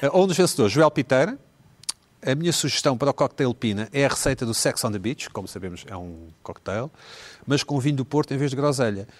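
A man's voice: mid-range voice, lively intonation. A man hosting a comedy panel show, reading listener emails aloud with teasing asides. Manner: fast, playful, reads messages aloud with teasing asides. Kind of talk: casual comedy panel chat.

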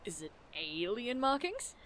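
A woman's voice: on the high side, very animated, unhurried, fairly bright tone. A woman playing a sardonic, teasing monster hunter in an audio drama, casual and sarcastic in delivery. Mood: wry, unimpressed. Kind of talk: audio drama acting, naturalistic dialogue.

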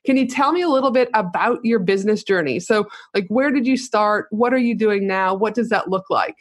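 A woman's voice: mid-range voice, a little fast, no room sound. Woman host for an interview podcast, chatty and upbeat, dry close recording. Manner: chatty, upbeat, run-on sentences. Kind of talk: casual interview chat.

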